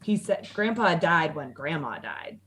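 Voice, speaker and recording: A woman's voice: low-pitched, big pitch swings, unhurried, a bit bright. A woman telling a personal story casually as a podcast guest, candid, sardonic and sweary, acting out the dialogue she quotes.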